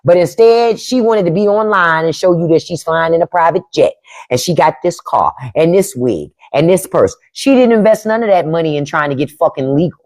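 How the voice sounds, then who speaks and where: Woman, blunt and profane, livestream host, very lively pitch, lowish voice. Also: brisk. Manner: blunt, profane, emphatic, reads and answers chat. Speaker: podcast host on a live stream. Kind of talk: casual livestream commentary.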